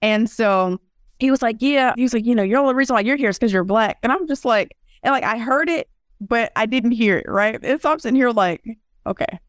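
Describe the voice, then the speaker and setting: A woman's voice: a little high, brisk, no room sound, studio quality. A young woman, a guest in a casual interview, telling stories and acting out what people said. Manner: animated storyteller, acts out quotes.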